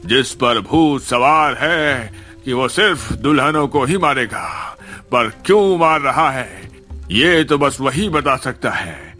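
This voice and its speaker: A commanding village holy man, played by a man in a horror cartoon. He declaims slowly and sternly in a forceful, sharp-edged voice, with music underneath. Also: mid-range voice, lively intonation, pitched above the performer's own voice. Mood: solemn. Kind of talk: Hindi horror cartoon story acting.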